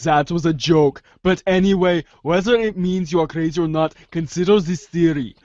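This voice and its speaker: Man playing a pedantic, high-voiced analyst. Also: normal speed, a little mellow, dry recording, dull audio, natural voice. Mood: analytical. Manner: formal, analytical, stumbling over long phrases. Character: pedantic, probing amateur psychoanalyst, unflappable and wordy. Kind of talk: amateur reader's theater acting.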